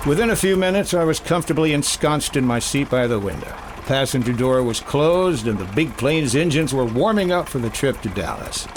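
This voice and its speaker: A man's voice: mid-range voice, lively intonation, fairly quick, few pauses, noisy background, natural voice. A man playing a wry, suspicious hard-boiled insurance investigator in a 1950s-style radio detective drama, narrating and talking punchily.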